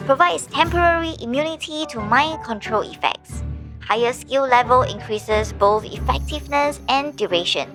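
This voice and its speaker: A showy video game announcer played by a woman, pitched high and full of swoops. She reads out stats with mock grandeur and a snarky edge, with soft music underneath. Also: animated, normal speed. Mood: brisk, snarky. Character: showy female game announcer AI reading out awards and item stats. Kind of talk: game system announcements, scripted.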